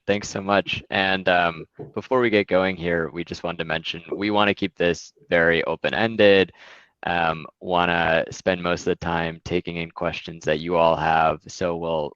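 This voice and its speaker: A man presenting his investment firm in a relaxed webinar talk, with frequent fillers. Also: sits low, no room sound, dull audio.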